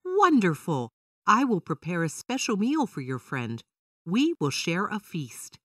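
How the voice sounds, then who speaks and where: Storybook leopard wife, voiced by a woman: complaining, excitable, emphatic, animated delivery in a very dry space. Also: lowish voice, a little slow, dry recording, far from the mic, full character voice. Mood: delighted.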